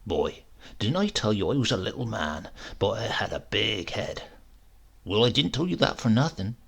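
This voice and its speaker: A man's voice: on the low side, sing-song, moderate pace, vocal fry, fairly bright tone, slightly rough, full character voice. A man voicing a boastful, sly old uncle, savouring each phrase as he tells tall tales in a storybook reading. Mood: smug, boastful.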